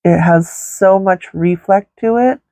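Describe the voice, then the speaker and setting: Congested woman vlogger, unhurried rambling makeup chat, dry close sound. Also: medium pitch, mellow, no room sound. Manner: slow, rambling, many fillers. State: stuffy nose, congested. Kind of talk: casual makeup tutorial chat.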